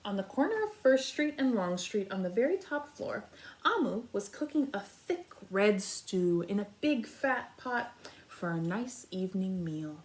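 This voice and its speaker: A woman's voice: medium pitch, sing-song, unhurried. A woman teaching a read-aloud lesson for young children, speaking directly to them and thinking aloud as she goes. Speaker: kindergarten teacher reading aloud.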